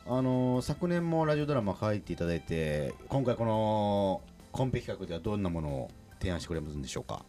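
A man's voice: on the low side, big pitch swings, quick pace, hardly any pauses, warm tone, faint music behind. A man hosting a casual radio interview, nodding along often and asking probing questions. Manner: frequent backchannels, probing questions. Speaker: radio show host.

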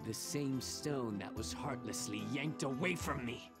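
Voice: medium pitch, a little slow, over loud music, lightly acted. An actor playing a pompous, melodramatic, grandiose mayor in a video game cutscene. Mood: proud storytelling. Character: pompous, theatrical, grandiose mayor of a cartoon kingdom.

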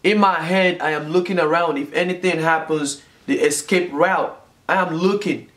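A man in his twenties from Nigeria tells a story straight to a camera in a small room at home. His voice sits a little high for a man. He talks in a lively, gesturing way and hammers his points home by repeating them. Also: moderate pace. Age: 20s. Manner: animated storytelling, repeats phrases for emphasis, gestures a lot. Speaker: vlogger. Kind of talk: casual storytime vlog. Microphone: camera in front, close framing.

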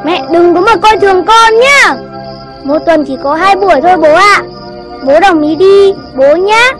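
A very high-pitched, boastful young cartoon boy, full of excitement. He is bossy and emphatic in his delivery. Music plays clearly behind him. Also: unhurried. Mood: eager. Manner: emphatic, exclamatory. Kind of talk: Vietnamese cartoon voice acting.

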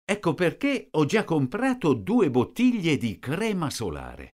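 A man slowly reading out short sentences, each one twice, for a language lesson. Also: on the high side, wildly swooping pitch, darkish tone. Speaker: language teacher. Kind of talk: slow repeated phrase reading.

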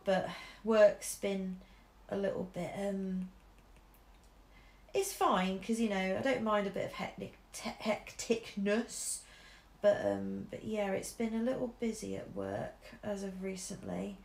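A young English woman with a mixed Midlands accent talks slowly, full of ums and small restarts. She chats casually as she draws at home, and the room sounds echoey around her. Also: medium pitch, vocal fry, darkish tone. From Shropshire, England. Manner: chatty, many ums and restarts, laughs easily. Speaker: artist streamer. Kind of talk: casual chat while drawing. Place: living room at home, indoors. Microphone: camera over the shoulder.

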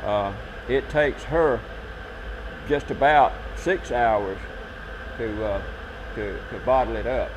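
Older farmer, plain-spoken. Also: male voice, medium pitch, slow pace, noisy background. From southern United States. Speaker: syrup maker. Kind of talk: explaining his work on camera. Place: farm, outdoors and in a cooking shed.

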